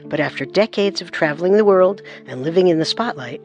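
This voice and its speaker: Measured female podcast host. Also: fairly low pitch, expressive, a little mellow, faint music behind. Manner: clear, measured storytelling. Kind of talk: scripted narration.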